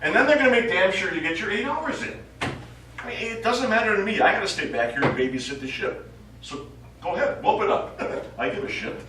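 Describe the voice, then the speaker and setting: An actor playing a nonchalant, wry astronaut in a stage play. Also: male voice, high-pitched, sing-song, brisk. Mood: wry, testy. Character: nonchalant, wry male astronaut tending the ship. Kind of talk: stage acting. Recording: echoey distant stage recording, faint noise.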